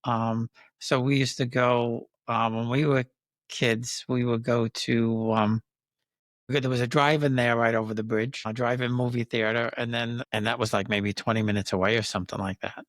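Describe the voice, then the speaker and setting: A man's voice: mid-range voice, steady tone, moderate pace, no room sound. An older man from Queens with a New York accent, hosting a friendly, chatty interview. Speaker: interviewer host. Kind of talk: casual conversational interview.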